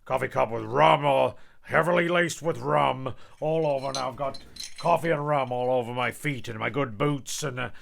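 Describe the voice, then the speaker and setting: A man's voice: medium pitch, moderate pace, vocal fry, a little hiss or hum. A man playing a grumpy, touchy, rum-drinking narrator who gripes and swears in a comedy radio play. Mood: grumbling. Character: grumpy rum-drinking narrator, shanty-singing old salt. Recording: music playing behind the voice.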